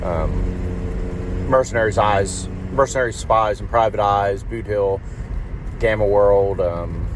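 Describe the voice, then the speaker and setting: A man leaving a casual, rambling voicemail from a car, halting and often repeating words as he goes. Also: lowish voice. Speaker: podcast voicemail caller. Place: in a car in traffic. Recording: noisy voicemail from inside a car. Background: car and traffic noise.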